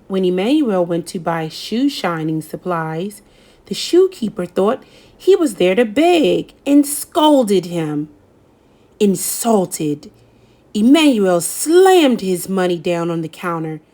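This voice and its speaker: A woman reading a children's picture book aloud in an expressive storytelling style, pausing for effect. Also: on the low side. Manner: slow, lively storytelling with dramatic pauses. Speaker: read-aloud narrator.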